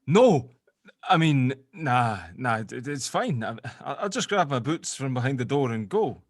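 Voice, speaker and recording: A man's voice: medium pitch, big pitch swings, measured pace, no room sound, clean studio sound. An actor playing a nervous, guilt-stricken man who stammers and swears in a dramatic script read-through. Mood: flustered, panicky.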